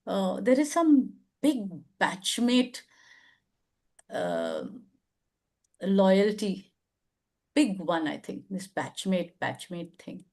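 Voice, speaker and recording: A woman's voice: mid-range voice, sing-song, drawn-out words, long pauses, darkish tone. An older woman with an Indian English accent, hosting a casual advice discussion, thoughtful and hedging, with many fillers. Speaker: advice show host.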